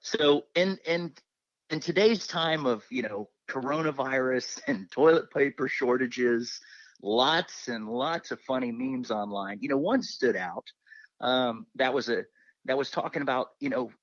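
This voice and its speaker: A man's voice: mid-range voice, lively intonation, measured pace, no echo. Middle-aged male co-host, dull dry sound. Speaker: co-host asking questions. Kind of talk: casual interview questioning.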